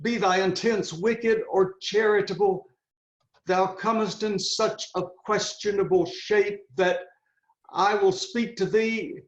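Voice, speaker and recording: A man's voice: high-pitched, steady tone, takes it slowly. A man reading the part of a brooding young prince in a Shakespeare play, grave and declamatory, over a video call. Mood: awed, pleading. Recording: roomy, slightly dull video-call audio.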